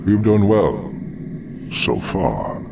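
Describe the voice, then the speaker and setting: An actor voicing a sinister, condescending demon dreadlord in a video game, ominous and haughty, in a distant echoing recording. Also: male voice, low-pitched, big pitch swings, extremely slow, gravelly, heavy background noise, full character voice. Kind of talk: video game cutscene acting.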